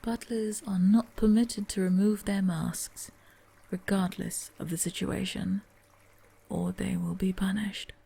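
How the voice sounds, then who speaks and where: Whispery masked butler played by a woman, formal and polite, dark husky tone, a little gravel. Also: medium pitch, little pitch movement, measured pace, exaggerated voice. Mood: hushed. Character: masked female butler, quiet and dutiful. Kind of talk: ASMR roleplay audio drama.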